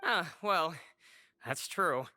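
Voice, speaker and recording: Bright, animated teasing patient. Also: male voice, fairly high pitch, drawn-out words, dry recording, studio quality, exaggerated voice. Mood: resigned. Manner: offhand, teasing. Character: easygoing, teasing male patient with chronic illness. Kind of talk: video game dialogue acting.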